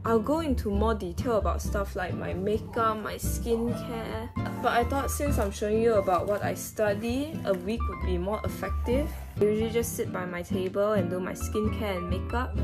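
A woman's voice: mid-range voice, moderate pace, hardly any pauses, loud music behind the voice. A young woman, a first-year university student, narrating a casual vlog to viewers in a chatty, upbeat way, filming on a phone held at arm's length. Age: young adult. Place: around a campus and a dorm room. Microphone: handheld phone at arm's length.

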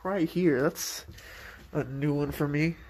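A man filming himself as he walks through an abandoned house, musing slowly about the decay. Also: on the high side, a little mellow, a little hiss or hum. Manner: slow, musing, easily startled. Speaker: urban explorer. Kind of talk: casual commentary while exploring. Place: abandoned house, indoors, empty rooms. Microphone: handheld phone camera.

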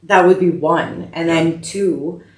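A woman's voice: sits low, a little mellow. Chatty woman in an echoey room. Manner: chatty, quick bursts with frequent fillers and restarts. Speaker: podcast guest. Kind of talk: casual interview chat. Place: living room, indoors, medium size.

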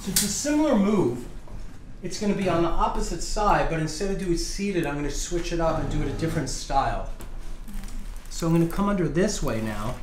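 A man in his fifties, a chiropractor, casually explaining hands-on treatment to a patient as he works. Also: on the high side. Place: small treatment office, indoors. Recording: echoey room, background noise.

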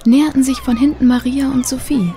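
A woman's voice: sits high, fairly even intonation, brisk, warm tone, faint music behind, natural voice. A woman narrating a children's mystery audio drama in a scripted, suspenseful storytelling manner. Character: female storyteller of a children's mystery radio play.

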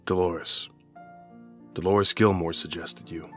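An actor playing an irritable, evasive husband in an audio horror drama, with a husky voice. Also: male voice, sits low, fairly even intonation, takes it slowly, soft background music. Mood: pleading, uneasy.

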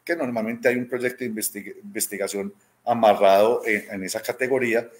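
A man's voice: on the low side, steady tone, a little fast. A man in his thirties with a Colombian accent from Medellín, telling stories casually in an interview and acting out quoted dialogue as he goes. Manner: conversational, acts out quoted dialogue, often tags with "¿cierto?". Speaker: robotics teacher being interviewed. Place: small room at home, indoors, video call.

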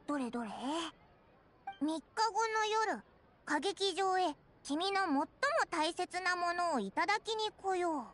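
A woman voicing a tiny, excitable, childlike fairy companion in an anime game, squeaky, with a blunt, boyish way of talking. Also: a little slow, full character voice. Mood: curious. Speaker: character voice actor.

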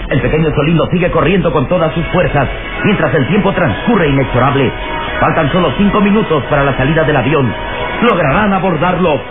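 A man's voice: fairly high pitch, brisk. A man narrating a radio serial in a dramatic, scripted style. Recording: tinny phone-like old radio recording, loud music.

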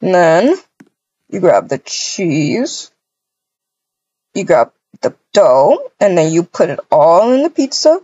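A husky-voiced woman improvises a cozy family roleplay for a game video. Her pitch moves around a lot, and she talks in halting, repeated phrases sprinkled with endearments. The recording is dull and lo-fi. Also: big pitch swings, warm tone, no echo. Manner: halting, repetitive, cooing endearments. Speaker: roleplay video creator voicing all characters. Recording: dull, lo-fi microphone sound.

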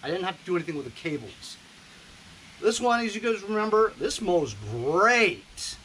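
A man's voice: sits high, sing-song, normal speed, pushed and bright, a little hiss or hum, low-quality recording. A man, chatting casually and rambling with fillers as he fixes machines outdoors, recorded on an action camera. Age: 50s. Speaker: small engine repair vlogger. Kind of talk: casual chat while repairing. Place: outdoors in a driveway and backyard.

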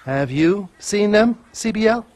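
A man's voice: sits high, animated, measured pace, darkish tone. A man playing an eccentric, paranoid UFO conspiracy nut in a video game, speaking in a jittery, earnest, pseudo-scientific manner. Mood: manic, earnest. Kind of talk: video game cutscene dialogue.

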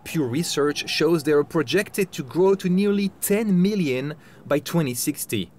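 A man in his thirties reading a scripted news report in a measured, clear way. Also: on the high side, animated. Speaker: correspondent.

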